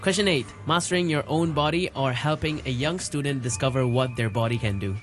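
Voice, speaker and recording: A man co-hosting a casual podcast, with a voice of ordinary pitch. He reads quiz prompts steadily and then digs in with curious follow-up questions. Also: brisk, over music. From Hong Kong. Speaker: podcast co-host.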